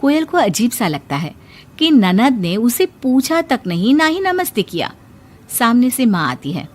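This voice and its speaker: A woman's voice: a little high, very animated, measured pace, darkish tone, soft background music. A woman reading a scripted moral story as its narrator.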